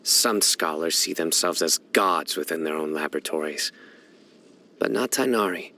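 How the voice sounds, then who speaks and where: An actor playing a solemn, stoic young desert enforcer of justice in a video game, speaking in a measured, deadpan way. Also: male voice, sits low. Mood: thoughtful. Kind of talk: scripted game character voice lines.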